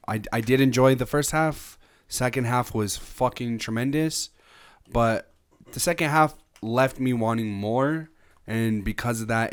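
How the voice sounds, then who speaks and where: A man's voice: medium pitch, smooth. A young man co-hosting a casual music podcast, talking loosely with lots of fillers and restarts. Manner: rambling, many fillers and restarts. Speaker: podcast co-host. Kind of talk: casual album review chat.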